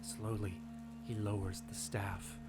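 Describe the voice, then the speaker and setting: A man's voice: lowish voice, little pitch movement. Grave male narrator over dramatic music. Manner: grave, deliberate, suspenseful phrasing.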